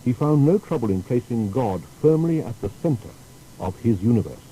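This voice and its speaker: A man delivers scripted documentary narration in a measured, explanatory manner. His voice is very dark and soft-edged, yet his pitch moves in lively swings. There is faint noise on the recording. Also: rough recording.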